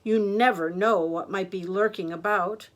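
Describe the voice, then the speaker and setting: A woman voicing a sly, charming, greedy male wolf in a storybook tale, savoring smooth-talking phrases. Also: mid-range voice, fairly even intonation, normal speed, close to an everyday voice. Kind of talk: storybook character voice.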